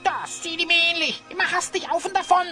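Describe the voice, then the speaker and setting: Squeaky, cheeky little creature. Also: female voice, a little fast, music in the background. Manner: cheeky, mocking. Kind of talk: German audio drama acting.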